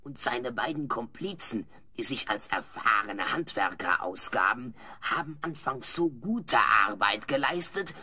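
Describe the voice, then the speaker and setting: A man playing a hapless, servile older servant in a fantasy audio play, pleading and making excuses in a strained, raspy voice. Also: medium pitch, expressive, measured pace, hardly any pauses, bright, forceful tone, exaggerated voice. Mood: defensive. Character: hapless, excuse-making ageing male servant, fond of drink. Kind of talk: German audio play acting.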